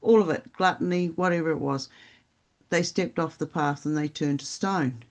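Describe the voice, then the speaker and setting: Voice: medium pitch, moderate pace. A podcast co-host talking in a casual, rambling and reflective way, with plenty of fillers. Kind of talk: casual spiritual podcast chat.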